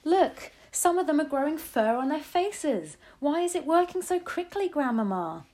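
A woman voicing an eager, curious young boy who is now a mouse, urgent and excited, in a storybook. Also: sits high, moderate pace, pitched above the performer's own voice. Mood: excited wonder.

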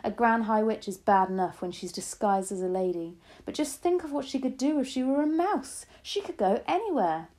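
A woman's voice: a little high, animated, normal speed, hardly any pauses, a little mellow, voice pushed up, natural voice. Woman putting on a feisty, emphatic old grandmother. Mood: impatient, forceful. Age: older. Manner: bold, emphatic, impatient declarations. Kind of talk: storybook read-aloud.

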